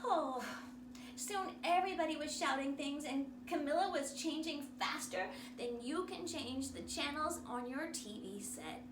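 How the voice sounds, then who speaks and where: A high-voiced woman reads a children's story aloud like a drama teacher. Her delivery is theatrical and very animated, with slow, emphatic phrasing. The sound is echoey. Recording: roomy, distant mic, noisy background.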